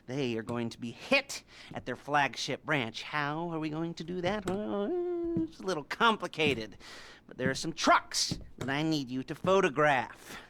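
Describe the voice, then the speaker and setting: Nerdy video game heist planner: high-ish, sharp voice, sardonic, halting and twitchy. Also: animated, slight background noise, exaggerated voice. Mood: wry, instructive. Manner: halting, rambling, nervous chuckles, rhetorical hms. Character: nerdy, twitchy, sardonic male heist mastermind briefing crew. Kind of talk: scripted video game cutscene acting.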